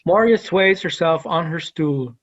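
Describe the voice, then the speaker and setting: Casting: male narrator reading stage directions, slightly high pitch, muffled online sound. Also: a little slow. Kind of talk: scripted narration.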